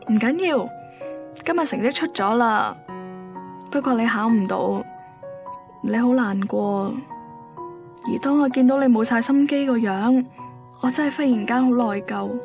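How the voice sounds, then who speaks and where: Actress as a high-voiced young Hong Kong girlfriend. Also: lightly acted. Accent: Hong Kong Cantonese. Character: caring young girlfriend, demanding yet tender. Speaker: actor in a radio drama. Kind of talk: radio drama acting and first-person narration. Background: music.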